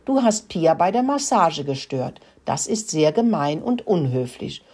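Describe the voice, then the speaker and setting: A woman's voice: on the low side, very animated, unhurried, husky, heavily acted. A woman voicing a stern, exasperated farm girl in a children's story. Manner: scolding, shouting.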